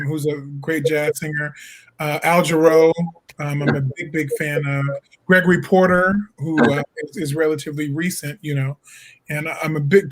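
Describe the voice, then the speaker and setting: Easygoing young man with a higher voice. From Peoria, Illinois. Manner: easygoing, laughs often, many fillers and restarts. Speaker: jazz singer and songwriter, guest. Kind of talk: casual interview answers.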